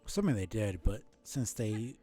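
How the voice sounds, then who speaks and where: Low mellow guy streaming a game, muttering thoughts, backtracks a lot, soft music behind. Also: lively intonation, moderate pace. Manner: thinking aloud, self-correcting, mild swearing. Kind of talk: casual live gameplay commentary.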